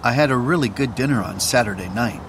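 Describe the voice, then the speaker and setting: A man who announces the tracks and reads the lines of a course dialogue in a slow, careful manner. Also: medium pitch, noisy background. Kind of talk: scripted textbook audio reading.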